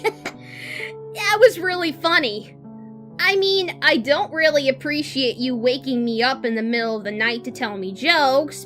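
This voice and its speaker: A woman playing a brash, hot-tempered young female pegasus pony in a cartoon audio drama, blunt and rough-edged. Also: high-pitched, pushed and bright, exaggerated voice. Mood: amused. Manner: blunt, confrontational, emotional.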